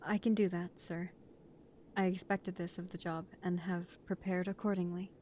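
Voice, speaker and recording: A woman's voice: on the low side, flat delivery, moderate pace, darkish tone, slight background noise, close to an everyday voice. A woman playing an earnest, devoted new mafia recruit and loving partner in a romantic audio roleplay. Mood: respectful, resolute. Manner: earnest, emotional, polite to superiors.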